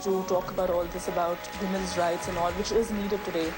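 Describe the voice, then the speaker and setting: Earnest young woman, lowish voice. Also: flat delivery, fairly quick, loud music behind the voice, a loud crowd. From India. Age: young adult. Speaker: pageant contestant.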